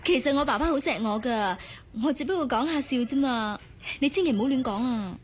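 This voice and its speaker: An actress playing a modest, guarded young office worker in a radio drama, polite and demure, with a Hong Kong Cantonese accent. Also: female voice, high voice, expressive, brisk, a little hiss or hum. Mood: evasive.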